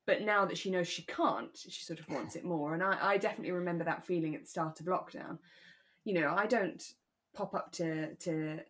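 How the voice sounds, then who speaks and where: Lowish-voiced British woman, chatty. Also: brisk, a bit bright. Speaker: podcast co-host. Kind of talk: relaxed discussion of a radio drama.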